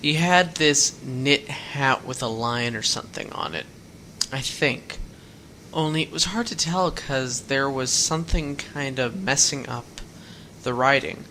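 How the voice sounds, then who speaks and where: A man's voice: mid-range voice, measured pace, pushed and bright, slight background noise, rough recording. An actor playing a fifteen-year-old runaway boy in a radio drama, talking in a plain, folksy, rambling way. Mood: earnest. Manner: plain-spoken, rambling storyteller with folksy words. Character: teenage runaway boy, fifteen, earnest and guarded. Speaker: hitchhiking runaway.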